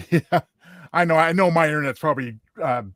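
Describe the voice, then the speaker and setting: A man's voice: a little high, normal speed, fairly bright tone, dry recording, studio quality. A man co-hosting, talking in casual discussion. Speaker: co-host.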